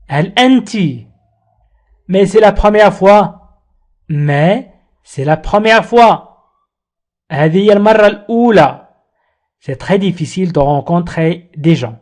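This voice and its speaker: A man teaching a language lesson, saying each phrase slowly and repeating it, then explaining it quickly. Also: high voice. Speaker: French teacher.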